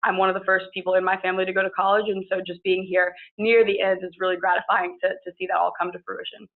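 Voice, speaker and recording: A woman's voice: medium pitch, little pitch movement, fast talker, narrow, tinny audio. A woman in her early twenties with an American accent, speaking as a student panelist in an earnest, fluent, chatty way. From Kentucky, United States. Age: early 20s. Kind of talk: conversational personal introduction at a panel.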